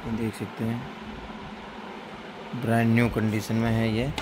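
A man's voice: lowish voice, completely flat delivery, a little slow, noisy background. A man casually narrating a handheld product showcase indoors on a phone, in plain, repetitive sales patter. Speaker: record seller showing his collection.

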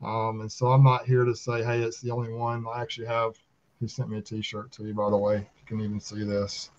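A man's voice: lowish voice, completely flat delivery, brisk, a little mellow. A man in his fifties with a Southern American accent, chatting casually and telling folksy stories on a video call. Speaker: beekeeper and video channel host. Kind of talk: casual chat. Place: small room at home, video call. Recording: slightly dull video-call audio.

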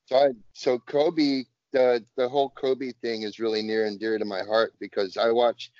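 A man's voice: mid-range voice, level tone, moderate pace, darkish tone, dry recording. A man joins the chat with eager enthusiasm, laughing along. His audio is dry and dull, typical of a lo-fi call line. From US West Coast. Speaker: podcast co-host. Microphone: video call.

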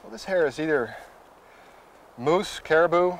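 High-pitched male wilderness guide. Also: lively intonation, a little slow. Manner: instructive, matter-of-fact. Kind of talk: explanatory chat while hiking. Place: outdoors in open coastal tundra, on the move.

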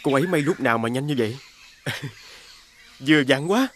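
A man voicing a humble, kind elder brother who travels selling medicine, gentle and respectful, in an animated fairy tale. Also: mid-range voice, normal speed, a little hiss or hum, natural voice. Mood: bashful, wondering.

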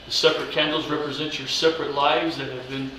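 A man's voice: a little high, level tone, pushed and bright. Impersonator man, roomy noisy recording. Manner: halting, drawling showman, teasing. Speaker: Elvis impersonator wedding officiant. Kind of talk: jokey wedding ceremony patter in Elvis impersonation. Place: wedding chapel in Las Vegas. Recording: roomy, distant mic, noisy background.

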